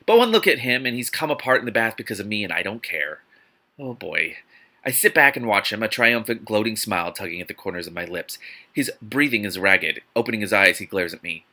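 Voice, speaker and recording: Animated, bright-voiced man reading with mocking commentary. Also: on the low side, a little fast. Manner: animated, theatrical, incredulous, swearing, sarcastic asides. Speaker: host reading a novel aloud with commentary. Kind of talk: dramatic book reading broken by mocking, exasperated commentary.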